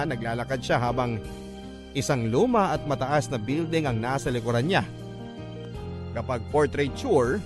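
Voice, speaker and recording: Filipino man narrating a love letter. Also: mid-range voice. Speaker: radio host reading listeners' letters.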